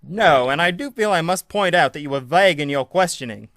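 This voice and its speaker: Actor playing a pedantic fiancé, high, tense voice, dry booth sound. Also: male voice, lively intonation, brisk, fairly bright tone, no room sound. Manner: fussy, literal-minded, defensive. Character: pedantic young man, the client's fiancé.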